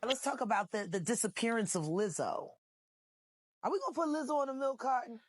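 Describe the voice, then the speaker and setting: A woman's voice: fairly high pitch, expressive, fairly quick. A woman who says she has a husband and children, giving sassy, mocking commentary with lots of emphasis. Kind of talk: animated commentary.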